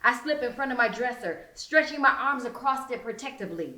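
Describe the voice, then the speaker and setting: A woman reads a first-person story as a young teen narrator. Her delivery is theatrical and expressive, with a bright, forceful tone. The sound is roomy and echoing. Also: a little high, brisk. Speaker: author reading own novel.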